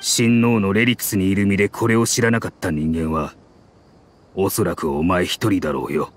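An actor voicing a grim, loyal male mercenary in a game dub, telling a grave story in a measured way. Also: sits low, fairly even intonation, a little hiss or hum, close to an everyday voice.

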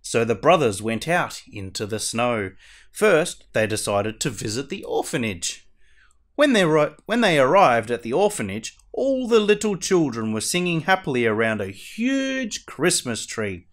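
A man playing a costumed superhero who reads a children's storybook aloud in a theatrical, deliberate way. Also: mid-range voice, sing-song, fairly bright tone. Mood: animated storytelling. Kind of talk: animated picture-book read-aloud.

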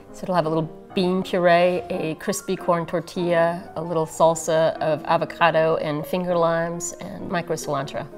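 A middle-aged woman, a chef, speaking thoughtfully in a documentary interview in a living room. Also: fairly low pitch.

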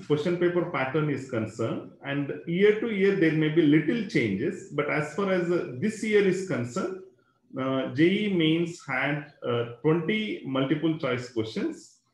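A man's voice: a little high, expressive, a little slow, echoey room, low-quality recording. A man, a school educator explaining exams in an online webinar, talking into a computer microphone with many fillers and a habitual "right?".

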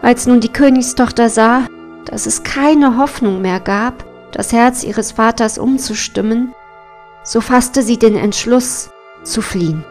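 A woman's voice: on the high side, measured pace, faint music behind. A woman reading a fairy tale as a scripted storybook narration.

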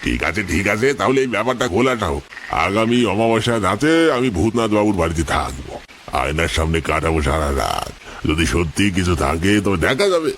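A boastful village skeptic played by a man in a horror audio story. The voice is very deep and the manner mocking and challenging, over faint background noise. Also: big pitch swings, normal speed, fairly bright tone, full character voice. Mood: bold, boastful. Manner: scoffing, challenging. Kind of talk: dramatized Bengali horror story.

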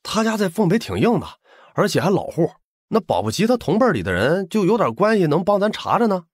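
A man's voice: high-pitched, very animated, fast talker, breathy edge, dry recording, clean studio sound, exaggerated voice. A man playing a cheeky, eager young buddy, playful and wheedling, in an audiobook drama. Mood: excited.

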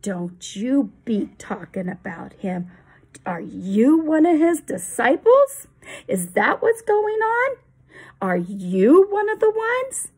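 A woman voicing an angry, threatening crowd of adults in a told story, lowering her voice to scold. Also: expressive, a little slow, slightly rough, heavily acted.

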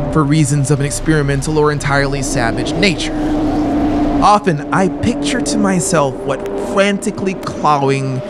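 A man in his thirties narrating a horror audiobook in a theatrical, deliberate, emphatic way, close to a studio mic. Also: a little high, noisy background. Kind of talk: dramatic horror story narration. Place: small brick-walled studio room indoors. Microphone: close broadcast mic on a boom arm.

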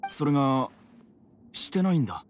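A man's voice: mid-range voice, sing-song, normal speed, a little hiss or hum, close to an everyday voice. A man playing a mild, sensible townsman and father in an anime game, explaining things earnestly. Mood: uneasy, explaining.

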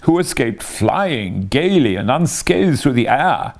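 A man's voice: mid-range voice, sing-song, takes it slowly, vocal fry, pitched above the performer's own voice, exaggerated voice. A man voicing a boastful, reckless male toad who crows with self-admiration. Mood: gleeful boasting. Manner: gleeful, self-admiring, shouting.

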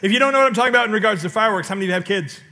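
A middle-aged male pastor preaching on a large church stage into a headset mic, telling stories with sudden loud outbursts and questions to the congregation. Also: high voice, very fast. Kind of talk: sermon.

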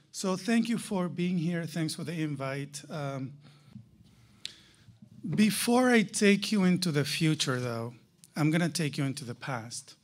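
A man speaks as a keynote presenter at a very measured, unhurried pace. His voice sits a little higher than most men's. He addresses his audience directly and emphatically, leaning on rhetorical questions and repeating the phrases that matter. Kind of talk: conference presentation to a live audience.

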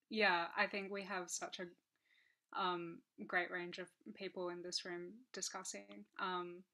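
Woman moderator, low, bright tone, monotone, video call from a living room. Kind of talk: moderating an online panel.

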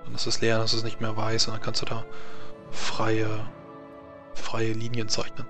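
Game master, male, mid-pitched, calm instructive delivery, faint music bed. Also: normal speed. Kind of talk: running a tabletop game.

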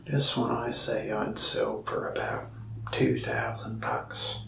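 A man playing a gravelly, soft-spoken mask shop salesman. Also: mid-range voice, flat delivery, roomy sound, far from the mic, full character voice. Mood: soft, friendly. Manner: slow, soft-spoken, gravelly with frequent whispered stretches. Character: lonely, friendly male mask shop salesman. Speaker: ASMR creator. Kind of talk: soft-spoken ASMR roleplay. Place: small indoor room, mask wall behind.

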